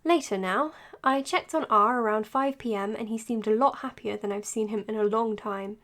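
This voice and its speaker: A woman playing an earnest, dutiful psychiatrist in an audio drama, speaking in a measured, precise, professional way. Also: fairly high pitch, a bit bright, natural voice. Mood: measured, thoughtful. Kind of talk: audio drama acting as spoken case notes and dialogue.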